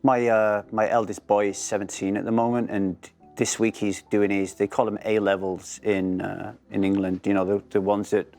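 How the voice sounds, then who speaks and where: A man's voice: fairly low pitch, little pitch movement, moderate pace, vocal fry, few pauses, darkish tone, faint music behind. Chatty middle-aged male interview host. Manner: chatty, shares own stories. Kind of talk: casual interview chat.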